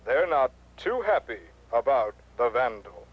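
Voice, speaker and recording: An actor playing a solemn, melodramatic radio newscaster in a parody news bulletin for children. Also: male voice, mid-range voice, sing-song, measured pace, slight background noise, poor audio. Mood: grave, dramatic. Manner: formal, dramatic announcing.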